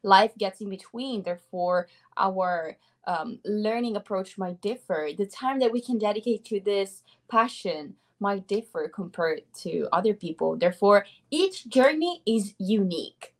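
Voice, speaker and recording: A woman's voice: medium pitch, animated, unhurried, few pauses. Young Italian woman, chatty guest. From Italy. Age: 20s. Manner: upbeat, chatty, laughs often. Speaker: guest, language learner. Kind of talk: casual interview chat.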